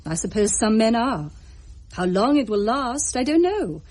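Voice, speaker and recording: An actress playing an anxious, well-bred lady in a period audio drama, husky, genteel and emotive. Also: fairly high pitch, very animated, measured pace, a little mellow. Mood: reassuring.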